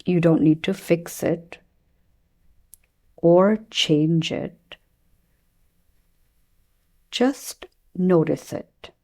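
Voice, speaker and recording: A woman leading a guided meditation as a teacher, speaking gently and soothingly with long pauses between phrases. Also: fairly low pitch.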